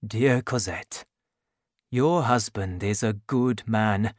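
A gentle, loving old man near the end of his life in a storybook reading, played by a male narrator. He speaks low, hushed and slow, each phrase soft and fading. The recording is close and dry. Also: no echo. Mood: tender. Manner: quiet, soft, fading to a whisper.

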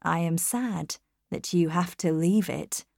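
A woman voicing an ardent, commanding gentleman in love, earnest and intense, in a storybook reading. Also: on the low side, slow pace, gravelly, no room sound, clean studio sound, full character voice.

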